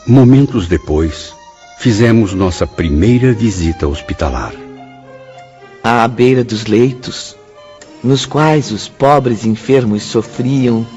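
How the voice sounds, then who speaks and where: An actor with a Brazilian accent playing a grave, reflective male spirit narrating his memoir in a solemn, measured radio drama. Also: medium pitch, lively intonation. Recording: dull radio-drama mix under loud music.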